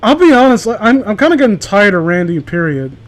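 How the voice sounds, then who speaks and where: A man's voice: high-pitched, big pitch swings, fairly quick. A man chatting casually among friends and reading stories aloud, stumbling over words as he reads. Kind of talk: casual group banter and amateur story reading.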